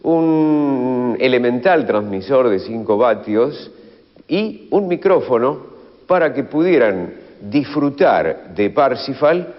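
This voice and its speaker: An Argentine man explaining as an interviewed expert, halting and often rephrasing himself. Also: medium pitch, animated. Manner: halting, self-correcting. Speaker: radio history expert interviewee. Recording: dull, long reverberant room sound.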